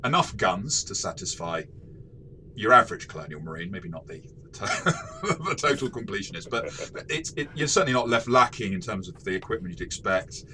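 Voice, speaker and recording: A man co-hosting a casual game review discussion, talking in a rambling, halting way and often repeating words. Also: fairly low pitch, very animated, pushed and bright, a little hiss or hum. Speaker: podcast host and game reviewer.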